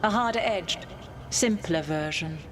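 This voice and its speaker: An actress playing an ancient, proud elven goddess in a video game, with formal, measured, commanding speech. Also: female voice, lowish voice, slight background noise, lightly acted. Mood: imperious challenge. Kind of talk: dramatic video game acting.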